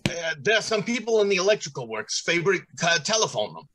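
An actor playing a stern, flustered factory director in old-time radio drama style, with halting, dramatic delivery. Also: male voice, high-pitched, big pitch swings, pushed and bright, heavily acted. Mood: alarmed. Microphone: video call.

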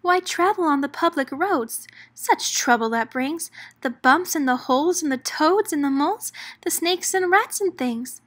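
A woman's voice: high-pitched, animated, unhurried, hardly any pauses, fairly bright tone, pitched above the performer's own voice. A woman voicing a pleading female fly who sells cars, in a rhyming storybook. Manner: begging, insistent.

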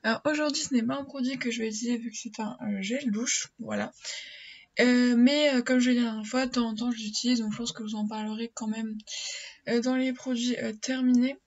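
A woman's voice: fairly high pitch, fairly even intonation, fairly quick, a little mellow. A teenage girl chatting casually in her small attic bedroom, full of fillers and restarts, recorded on a built-in webcam mic. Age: teens. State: stuffy nose from a cold. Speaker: beauty vlogger. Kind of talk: casual daily unboxing vlog. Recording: dull lo-fi webcam audio with faint noise.

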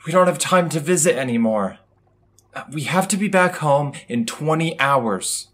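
A man's voice: fairly high pitch, animated, normal speed, fairly bright tone. A man playing an impatient, blunt space traveler in an audio drama, sounding exasperated.

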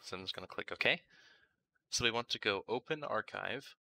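A man narrating a casual step-by-step software tutorial, informal and thinking aloud as he works. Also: fairly low pitch, expressive, moderate pace, vocal fry. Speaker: tutorial host.

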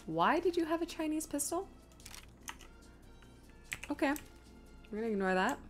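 A woman's voice: sits high, sing-song, normal speed, soft background music. A woman streamer giving casual live gameplay commentary, chattily thinking aloud to herself and her viewers. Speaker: video game streamer.

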